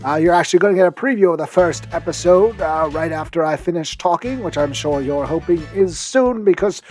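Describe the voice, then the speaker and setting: A man's voice: a little high, brisk, darkish tone, soft background music. A man giving a chatty podcast recommendation in a rambling, self-deprecating way. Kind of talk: chatty scripted-feeling promo talk.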